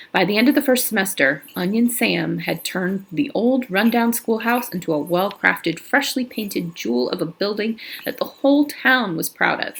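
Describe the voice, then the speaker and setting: A woman's voice: mid-range voice, expressive, normal speed, creaky, a bit bright, slightly rough, low-quality recording. A woman reading a novel aloud in a casual manner, with slips and restarts. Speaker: reader.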